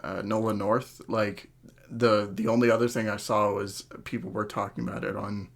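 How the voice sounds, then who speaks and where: A man's voice: fairly low pitch, flat delivery, normal speed, creaky. A man in a casual, opinionated podcast chat, sweary and jokingly exasperated, often laughing. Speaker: podcast host.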